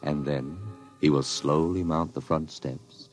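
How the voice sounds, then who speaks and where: An actor playing an ominous, musing narrator in a 1950s science fiction radio drama. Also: male voice, very deep voice, fairly even intonation, unhurried. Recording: old radio recording with music.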